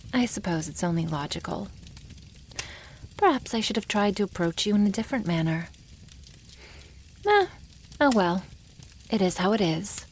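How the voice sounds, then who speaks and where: A woman voicing a haughty, possessive female dragon in an ASMR roleplay, teasing and condescending, often dropping toward a breathy whisper. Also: medium pitch, a little mellow, slight background noise. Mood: smug, unhurried.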